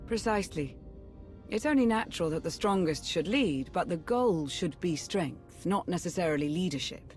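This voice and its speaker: An actor playing a proud, coolly philosophical former Sith warrior in a sci-fi game, speaking in a measured, deliberate way. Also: medium pitch, animated, soft background music, close to an everyday voice. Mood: cool, assured.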